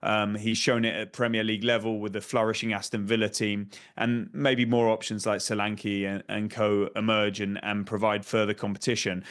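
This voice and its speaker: Low-voiced man, hesitant, on a video call. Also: little pitch movement, a bit bright. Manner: measured, hesitant with ums and repeated words. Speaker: football correspondent. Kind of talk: remote studio discussion. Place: home room. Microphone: desk microphone on video call.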